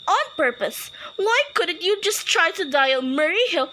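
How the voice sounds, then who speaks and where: Radio drama lead: actress as fretful, imperious invalid housewife, piercingly high, bright delivery. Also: female voice, animated, measured pace, heavily acted. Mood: indignant, insistent. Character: anxious, imperious, sickly housewife alone at night. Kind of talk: radio play acting.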